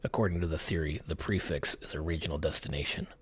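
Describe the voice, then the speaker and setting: An extremely deep-voiced man narrates a scripted mystery piece. His voice is heavily husky and gravelly, with a lot of breath in it. He delivers short dramatic phrases with suspense. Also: quick pace. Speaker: channel host narrator.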